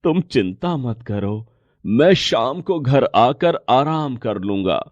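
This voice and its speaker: Poor devoted father in a moral tale, acted by a man: earnest, reassuring, slow and deliberate. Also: medium pitch, animated, no room sound, lo-fi sound, voice pushed down. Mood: earnest, resolute. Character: poor, determined rickshaw-driver father, devoted to his son. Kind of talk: Hindi moral story dialogue.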